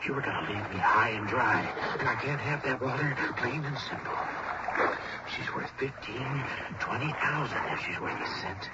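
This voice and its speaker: A man's voice: medium pitch, moderate pace, lightly acted. An actor in an old-time radio drama playing a resentful, desperate man turned killer who mutters to himself in agitation. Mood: bitter, scheming. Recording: muffled old radio drama, loud boat noise.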